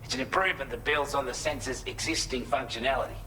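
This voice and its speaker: A forceful, bright-edged Australian man, played by an actor, briefing a courier in a video game. He is plain-spoken and earnest, talking conversationally at an ordinary male pitch. Faint background ambience sits under the voice. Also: fast talker, slight background noise, lightly acted, poor audio. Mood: helpful, matter-of-fact. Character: matter-of-fact male shelter resident, allied quartermaster briefing a courier. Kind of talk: video game cutscene dialogue, mission briefing.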